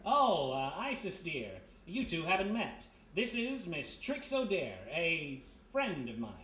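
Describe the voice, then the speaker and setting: Lively high male voice, man playing breezy flirtatious private eye, wry, easygoing, radio mystery, echoey room. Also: a little slow. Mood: placating, curious. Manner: smooth-talking, wry, easygoing. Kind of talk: live 1940s-style radio mystery acting. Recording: echoey live room, faint noise.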